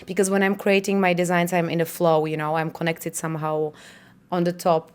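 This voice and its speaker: Smooth-voiced woman designer. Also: on the low side, little pitch movement, fairly quick, a little mellow. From Czech Republic. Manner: earnest, spiritual, lots of "you know" and restarts. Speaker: fashion designer. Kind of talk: casual interview answers.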